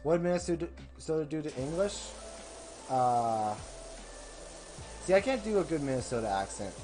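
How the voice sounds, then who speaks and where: A young man casually commenting while playing a video game on a live stream, rambling, swearing and thinking out loud. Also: fairly high pitch, lively intonation, normal speed, soft background music, poor audio.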